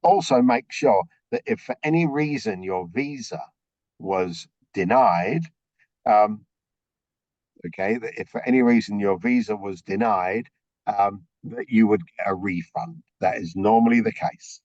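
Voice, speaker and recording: A man with a slightly croaky voice briefing a group on a video call, explaining things step by step and checking in with frequent okays. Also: fairly low pitch, unhurried, a little mellow, no echo, lo-fi sound. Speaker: orientation presenter.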